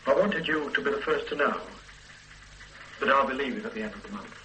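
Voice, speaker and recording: An actor playing a kindly, hesitant male office boss in a radio drama, confiding in his secretary with many pauses. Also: mid-range voice, lively intonation, sharp tone, slight background noise, low-quality recording. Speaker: office manager. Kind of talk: 1970s-80s radio drama acting.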